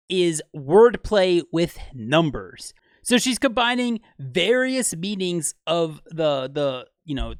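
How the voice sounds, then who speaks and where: A man breaking down music in an enthusiastic, explanatory way for a video, with fillers and quick asides. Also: high-pitched, no room sound, studio quality. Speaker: video commentator.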